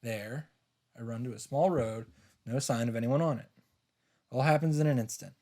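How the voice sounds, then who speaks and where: Male streamer, mid-pitched, narrating visual novel text, informal asides. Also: moderate pace, mellow. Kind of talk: live read-aloud narration with casual asides.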